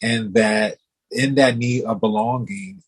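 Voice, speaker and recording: A man with a low, husky voice talks slowly and affirmingly, threading "you know" through long reflective sentences. He sounds like a counselor reflecting a guest's story back to her. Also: steady tone, poor audio. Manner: affirming, many "you know" and "mm-hmm" interjections. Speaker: host, therapist-style interviewer. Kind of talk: supportive interview talk.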